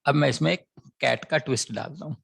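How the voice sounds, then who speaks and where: A man's voice: fairly high pitch, fast talker, gravelly, fairly bright tone, breathy edge. A man teaching maths, explaining a word problem as a story and checking often that students follow, with a sore throat. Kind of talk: classroom lecture.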